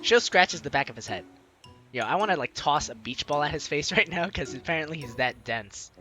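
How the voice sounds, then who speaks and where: Bright, animated female gaming host. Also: low-pitched, a little fast. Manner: playful, hammy read-aloud with ad-libbed asides. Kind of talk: animated let's play, reading game narration and dialogue aloud. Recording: background game music behind voice.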